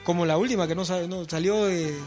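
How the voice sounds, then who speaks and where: A man in his forties speaks as a musician guest in a relaxed interview, his voice sitting high. He talks earnestly, with fillers and repeated words, and soft music plays faintly behind him. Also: a little fast. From Peru. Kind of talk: casual interview chat.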